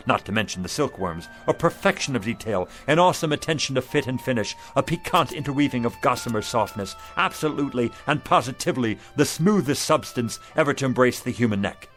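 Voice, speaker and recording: An actor playing a down-on-his-luck street vendor, a persuasive pitchman with flowery salesman patter, in a radio drama. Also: lively intonation, brisk, few pauses, a bit bright, close to an everyday voice. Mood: grand sales patter. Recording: music under the dialogue.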